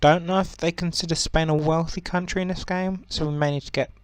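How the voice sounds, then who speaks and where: A young man with a croaky voice giving relaxed, rambling commentary on a strategy game, with many ums and self-corrections. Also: a little high, a little fast, no room sound. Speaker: gaming channel host.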